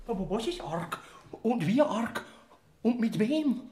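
An actor playing a pleading, sing-song Bavarian death figure in a radio play, with a Bavarian accent. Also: male voice, high-pitched, very animated, slow, a little mellow, heavily acted.

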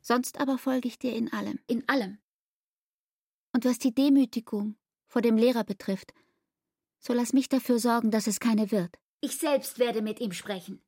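Intimate, high-voiced devoted young fiancée. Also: female voice, moderate pace, dark tone, dry recording, studio quality. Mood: pleading, determined. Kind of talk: German literary radio drama acting.

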